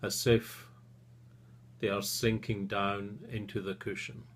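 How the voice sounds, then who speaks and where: Slow, low-pitched man guiding relaxation. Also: flat delivery, a little hiss or hum. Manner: soothing, gentle, with long pauses between short phrases. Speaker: therapist leading a relaxation session. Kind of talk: guided relaxation meditation.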